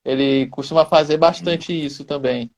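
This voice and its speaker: A man's voice: fairly high pitch, fairly even intonation, normal speed. A man interviewing a guest, asking questions in a conversational way and checking in with tag questions.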